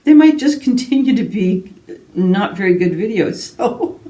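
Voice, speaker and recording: A woman's voice: mid-range voice, lively intonation, a little slow, warm tone. A woman from Canada chatting casually and ramblingly to her viewers as a book vlogger, in a self-deprecating way. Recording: roomy echo, distant microphone.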